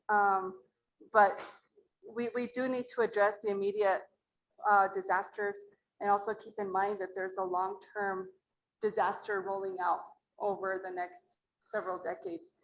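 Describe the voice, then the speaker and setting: A low-voiced woman from Mora, New Mexico, addresses a community meeting in a library hall. She talks in a plain, earnest way with a touch of self-deprecation, at a middle pitch, over faint background noise. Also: steady tone, a little slow. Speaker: acequia association representative.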